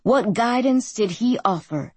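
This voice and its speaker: A woman's voice: fairly high pitch, expressive, measured pace, dark tone, slightly rough, dry recording. A woman voicing a male pastor who preaches a wedding sermon in a fervent, rolling pulpit style, as an audiobook character. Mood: preaching.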